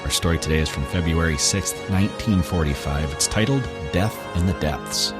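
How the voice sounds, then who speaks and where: Loud music plays behind a man with a very deep voice. He is hosting a podcast, reading scripted narration to his listeners. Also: normal speed. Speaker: podcast host.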